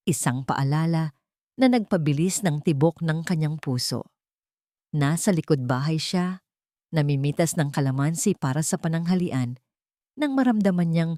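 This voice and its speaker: A woman's voice: deep voice, mellow, dry recording, studio quality. A woman reading a scripted romance story as a dramatic narrator.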